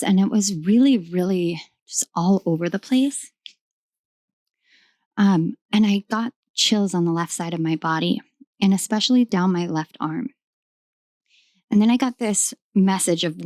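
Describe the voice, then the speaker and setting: Hoarse woman with a low, dry voice. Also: a little mellow, no room sound. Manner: rambling, affectionate, many ums, talks to her pets. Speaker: tarot reader. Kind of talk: casual tarot reading to camera. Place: at home in a room.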